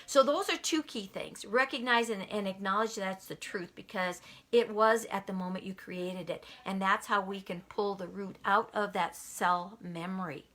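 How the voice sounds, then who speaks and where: A woman's voice: medium pitch, moderate pace, few pauses, fairly bright tone. A woman giving a motivational talk, emphatic and repeating key lines for effect. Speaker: motivational speaker.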